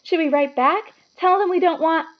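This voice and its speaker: A woman's voice: extremely high pitch, moderate pace, lo-fi sound, pitched above the performer's own voice. A woman voicing an anxious, easily horrified middle-aged aunt in a storybook, with a quavering, fretful delivery. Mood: fearful.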